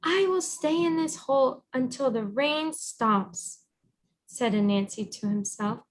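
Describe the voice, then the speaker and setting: A woman reading a storybook aloud as a teacher, encouraging and pausing to guide with questions. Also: high voice, expressive, rough recording. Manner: encouraging, instructive.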